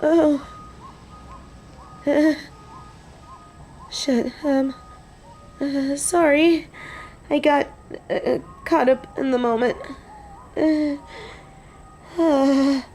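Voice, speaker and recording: Woman acting an excitable, high-voiced young biker girl. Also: very slow, long pauses, soft background music, full character voice. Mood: flustered. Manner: gushing and teasing, swinging between squeals and low deadpan. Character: excitable, sassy female wolf biker and machine nerd on a date. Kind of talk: romantic audio roleplay, scripted monologue to an unseen listener.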